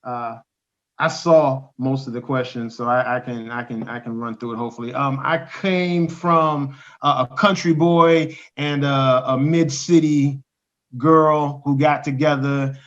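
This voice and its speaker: A man, a rapper and poet, talking casually on a video call, pausing often with ums and restarts. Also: mid-range voice. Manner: halting, many ums, animated when quoting lyrics. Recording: dull lo-fi call audio.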